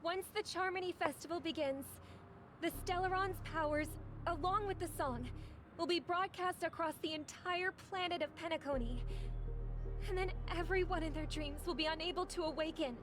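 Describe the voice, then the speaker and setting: A woman's voice: extremely high pitch, moderate pace, background noise. An actress playing a poised, earnest young woman in a video game, speaking formally. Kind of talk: dramatic video game dialogue.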